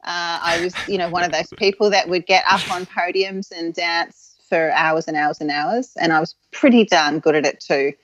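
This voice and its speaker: Chatty woman with soft Australian accent. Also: lowish voice, normal speed, hardly any pauses, a little hiss or hum, low-quality recording. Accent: soft Australian with an English tinge. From Adelaide, Australia. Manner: chatty, frank, frequent ums and restarts. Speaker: interview guest. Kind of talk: casual reminiscing interview.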